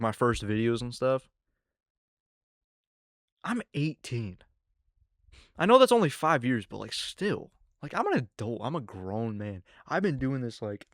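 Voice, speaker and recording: An eighteen-year-old man hosting his own podcast, rambling casually with lots of fillers and excited asides. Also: mid-range voice, no room sound, studio quality. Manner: rambling, filler-heavy, excitable teen talk. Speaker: podcast host. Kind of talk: casual solo podcast chat.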